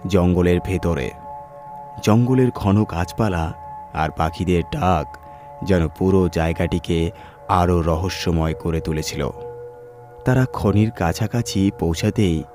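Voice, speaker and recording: A male storyteller with a fairly low voice narrates a scripted horror tale. Music plays underneath his reading. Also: dark tone.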